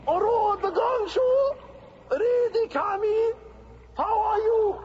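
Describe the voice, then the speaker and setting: A radio-drama alien warlord who has gone sweet and childlike. He is very high-pitched and unhurried, doddering and effusive, on a noisy old recording. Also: dull audio, full character voice. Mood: delighted, amazed. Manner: doddering, effusive, full of vague murmurs. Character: male alien warlord turned dreamy, genial and childlike.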